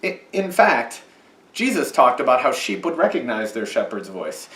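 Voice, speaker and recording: A man's voice: mid-range voice, sing-song, normal speed, a bit bright, reverberant, distant mic. A man leading a children's message in church, patiently explaining in a sing-song way for kids. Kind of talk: children's sermon with puppet. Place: church sanctuary.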